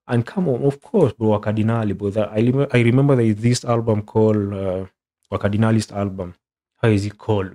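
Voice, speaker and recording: A young man from Kenya with a Kenyan accent, chatting casually as a content creator in English, which is not his first language, and dropping "bro" again and again. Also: fairly low pitch. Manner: relaxed, says "bro" a lot, rambling. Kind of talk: casual reaction commentary.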